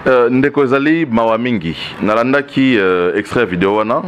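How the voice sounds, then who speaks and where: A man's voice: medium pitch, animated, moderate pace, lo-fi sound, a little hiss or hum. A Congolese man giving political opinions in an interview, arguing with rhetorical questions and frequent check-ins with the listener. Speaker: political commentary guest.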